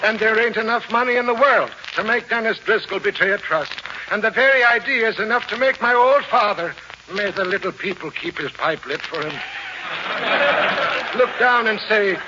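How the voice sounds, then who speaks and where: A high-pitched Irish rogue of an uncle acted by a man spins long, flowery, piously sly speeches. He sounds like a genial chiseler in a 1940s radio comedy, heard through a dull, clearly noisy vintage recording. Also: normal speed, natural voice. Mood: mock-offended, sly. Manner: florid, long-winded, full of mock piety.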